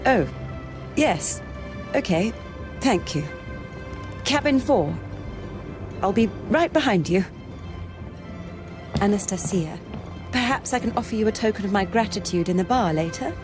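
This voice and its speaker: An actress playing a young, well-bred British lady with an educated English accent, outspoken and expressive, in a video game. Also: medium pitch, unhurried, long pauses, over music, natural voice. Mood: polite, chatty. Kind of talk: video game dialogue acting.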